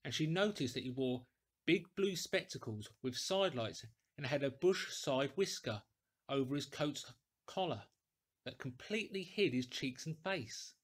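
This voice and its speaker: A man reading a classic story aloud in a deliberate, theatrical, clearly articulated way. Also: mid-range voice, lively intonation. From England. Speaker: audiobook reader, actor. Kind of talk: dramatic scripted storytelling.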